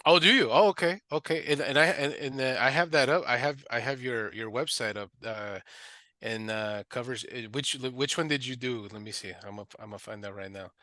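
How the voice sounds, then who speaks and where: A man hosting a podcast interview, talking casually and reacting with quick excited interjections. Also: mid-range voice, no echo, clean studio sound. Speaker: podcast host.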